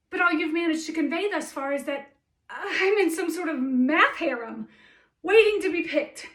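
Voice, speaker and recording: Brisk, high-voiced, ambitious stage heroine played by a woman. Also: fairly bright tone. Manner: assertive, witty, emphatic. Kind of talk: theatrical stage monologue. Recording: roomy echo, distant microphone.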